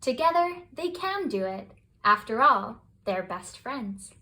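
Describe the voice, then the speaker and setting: A woman reading a children's picture book aloud as scripted bedtime narration, with expressive, deliberate phrasing. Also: a little high. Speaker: children's storybook reader.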